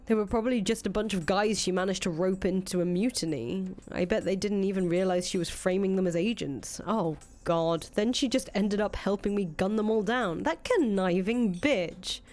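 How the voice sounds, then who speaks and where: A woman's voice: medium pitch, moderate pace, few pauses, darkish tone, natural voice. A woman playing a cynical, foul-mouthed ousted rebel leader in a visual novel, thinking aloud in casual, profane speech. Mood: bitter, frustrated. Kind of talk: acted reading of visual novel dialogue. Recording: music under the voice.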